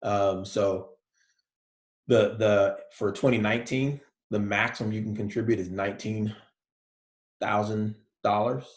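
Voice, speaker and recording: A male college professor giving a relaxed, soft-spoken lecture online, with many fillers. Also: fairly low pitch, a little slow, roomy sound.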